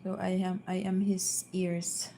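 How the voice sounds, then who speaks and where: Soft-spoken filipina lady chatting on a live stream at home, slow and flat, lots of ums, faint hum behind. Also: lowish voice, gravelly. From Philippines. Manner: hesitant, many fillers and restarts. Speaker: vlogger. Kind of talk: casual live-stream chat. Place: downstairs at home.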